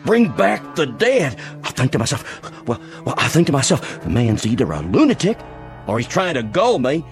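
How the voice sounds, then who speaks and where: An actor playing a chatty, nervous Old West bartender with a folksy American drawl in an audio drama. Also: male voice, mid-range voice, huge pitch swings, moderate pace, soft background music. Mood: eager. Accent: American Western drawl. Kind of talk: audio drama comic Western acting.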